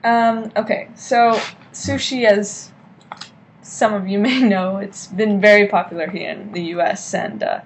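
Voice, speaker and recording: A woman's voice: mid-range voice, steady tone, measured pace, a little hiss or hum, poor audio. A young woman giving an informal class speech into a laptop webcam in a hotel room, often checking in with "okay?". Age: young adult. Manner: chatty, keeps checking in with "okay?". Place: hotel room at night, lamp-lit. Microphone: laptop webcam at desk.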